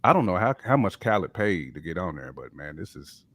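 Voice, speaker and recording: A man's voice: deep voice, sing-song, fairly quick, fairly bright tone, no echo. A man with an American accent bantering casually as a panelist on a hip hop show, slangy and opinionated. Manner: slangy, opinionated, peppered with "you know what I'm saying".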